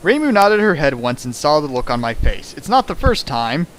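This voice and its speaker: Animated man riffing a fanfic aloud. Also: fairly high pitch, moderate pace, slight background noise. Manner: sarcastic, exasperated, shifts into mock voices. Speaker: fanfic reader and riffer. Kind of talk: dramatic reading with mocking commentary. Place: home streaming setup.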